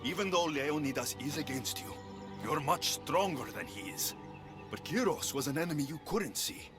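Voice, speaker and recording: A man playing a determined adventurer hero in a video game, earnest, measured and persuasive. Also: a little high, expressive, over music, lightly acted. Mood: urgent, persuading. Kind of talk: video game cutscene acting.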